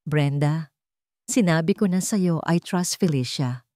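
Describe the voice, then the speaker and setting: A woman's voice: deep voice, measured pace, mellow, dry recording, studio quality. A woman voicing a troubled widowed rich man who speaks guardedly, trying to sound casual, in an audio drama story.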